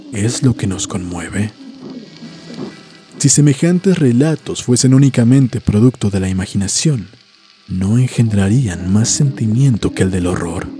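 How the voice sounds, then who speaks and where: A man narrating a scripted horror story in a solemn, deliberate, dramatic way, letting phrases trail off softly. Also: fairly low pitch. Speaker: host and narrator of a story series. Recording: music behind the voice. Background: music.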